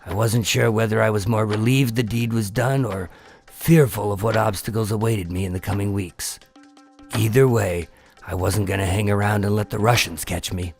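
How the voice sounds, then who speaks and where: A man playing a weary, calculating undercover agent, terse and measured, in a noir crime radio drama. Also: lowish voice, fairly even intonation, vocal fry. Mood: brooding narration. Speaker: lead actor and narrator. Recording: music under the voice.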